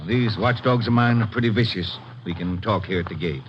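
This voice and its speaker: A man's voice: lowish voice, fairly quick, close to an everyday voice. A man playing a grouchy, guarded nursery owner in a vintage radio drama, curt and uncooperative. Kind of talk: 1940s-50s radio drama acting. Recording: muffled, noisy old radio recording.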